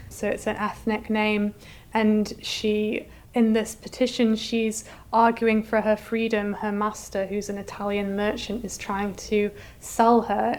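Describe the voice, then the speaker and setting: A woman's voice: mid-range voice, darkish tone. A woman curator giving an articulate, scholarly explanation in an interview. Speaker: exhibition curator being interviewed.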